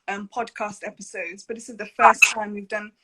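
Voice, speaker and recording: A woman's voice: medium pitch, level tone, fairly quick, heavy background noise. A woman hosting a live video interview, speaking in a friendly way with ums and you-knows. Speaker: podcast host.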